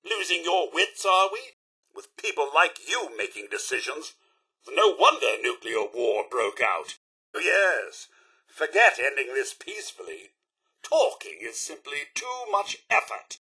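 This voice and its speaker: Bright, theatrical British robot butler. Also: male voice, on the high side, big pitch swings, measured pace, husky, exaggerated voice. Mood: disapproving, sarcastic. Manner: theatrical, polite, primly disapproving butler diction. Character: prim, fussy British robot butler, loyal but sarcastic. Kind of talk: video game companion voice lines.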